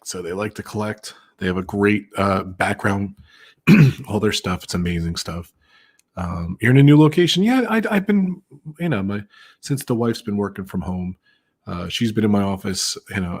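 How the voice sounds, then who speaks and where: A man hosts a laid-back livestream chat, his voice on the low side and a bit husky. He rambles easily, padding his talk with fillers as he shouts out friends and channels over a computer mic. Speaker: host.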